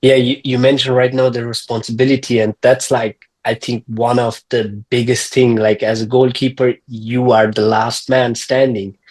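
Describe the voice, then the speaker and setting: A man's voice: medium pitch, level tone, normal speed, few pauses, a little mellow. A man hosting a podcast, asking curious, casual questions with frequent fillers. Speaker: podcast host. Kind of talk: interview questions.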